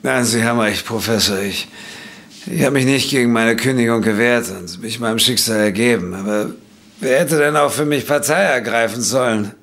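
A man playing a bitter, defensive doctor fallen on hard times, speaking haltingly in a German audio drama. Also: mid-range voice, a bit bright, natural voice. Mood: resigned. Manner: halting, stammering, agitated. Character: defensive, bitter, disgraced male doctor who drinks.

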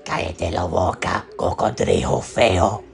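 A man voicing a hot-tempered, clingy young male frog in a homemade skit, whiny and quick to threaten. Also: deep voice, huge pitch swings, gravelly, heavily acted. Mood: angry.

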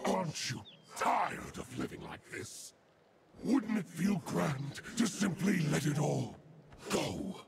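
A male actor voicing a maniacal, chaotic undead lich necromancer for a video game, with a gravelly, theatrical delivery. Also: fairly high pitch, very animated, heavy background noise, heavily acted. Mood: mocking, playful. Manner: theatrical, drawn-out, manic swings. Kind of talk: video game character voice lines.

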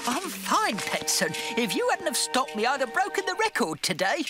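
High-pitched boastful postman. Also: wildly swooping pitch, moderate pace, full character voice. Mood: proud, excited. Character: boastful, excitable country postman on a bicycle. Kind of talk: cartoon voice acting. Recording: music under the voice.

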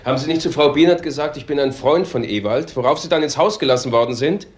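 An actor playing a blunt male police detective in a crime drama, husky and pointed in his questioning. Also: medium pitch, fast, close to an everyday voice. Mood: accusing. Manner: pointed, direct. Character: blunt, accusing junior police detective, man.

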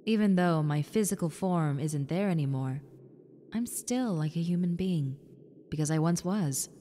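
A woman voicing a friendly, needy young female ghost in an intimate roleplay, softly coaxing the listener with hesitant pauses. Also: on the low side, animated, natural voice. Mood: wistful, gentle. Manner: soft, coaxing, hesitant pauses, sometimes near whisper. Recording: long reverb, clear background noise and ambience.

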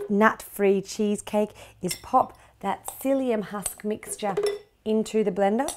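Woman, 30s, Australian accent, cooking show host, chatty and gushing, mellow warm tone, home kitchen. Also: medium pitch. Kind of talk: cooking demonstration.

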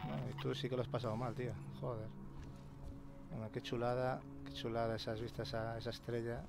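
A man's voice: medium pitch, flat delivery, brisk, over music. A man with a Castilian accent from Spain giving casual let's-play commentary, colloquial and sweary, muttering to himself as he goes. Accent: Castilian Spanish from Spain. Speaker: gaming channel host. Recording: clearly noisy, game ambience behind.

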